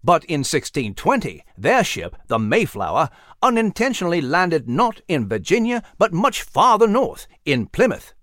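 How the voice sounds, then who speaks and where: A man acts out an erudite animal scholar for a storybook. He lectures precisely and properly, with a lively melody and a somewhat high male pitch. The sound is dry and close. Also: moderate pace, hardly any pauses, no room sound. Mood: earnest, explaining. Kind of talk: audiobook storybook character voice.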